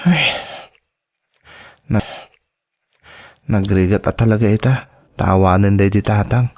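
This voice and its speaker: A woman's voice: a bass voice, takes it slowly, darkish tone, heavily acted. A woman with an Ilocano Filipino accent playing a dutiful, anxious student in an audio drama. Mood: sad, worried. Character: dutiful, anxious student child devoted to struggling parents.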